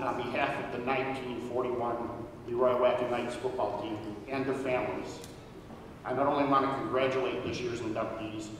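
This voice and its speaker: A man's voice: medium pitch, noisy background. A middle-aged man giving an earnest, formal tribute speech at a podium on an auditorium stage. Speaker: speaker at a hall of fame induction. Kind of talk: prepared speech read at a podium. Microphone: podium microphone, recorded from far. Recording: echoey hall from far off.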